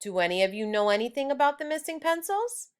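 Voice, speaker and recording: A woman's voice: high voice, expressive, fast talker, voice pushed up. A woman voicing an earnest adult male schoolteacher from a children's storybook, instructive and emphatic. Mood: firm, questioning.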